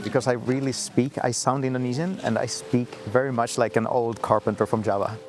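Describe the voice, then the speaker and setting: A man's voice: medium pitch, steady tone, brisk, loud music behind the voice. A man being interviewed, telling his story loosely, with many restarts and fillers. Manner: loose, filler-heavy talk with frequent restarts.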